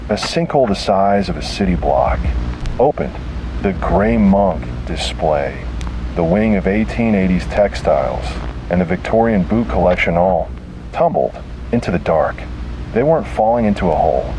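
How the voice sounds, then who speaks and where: A man reading a scripted horror narration in a grave, halting, dramatic way with heavy pauses. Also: low-pitched, big pitch swings, background noise.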